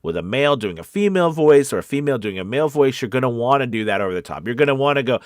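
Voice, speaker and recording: A man's voice: medium pitch, lively intonation, rapid-fire, dry recording, studio quality. A man coaching voice-over in a casual, conversational live talk, encouraging in manner, with a slight case of the sniffles. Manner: encouraging, conversational, often checks in with "okay" and "right". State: sniffly with a cold or weather sniffles.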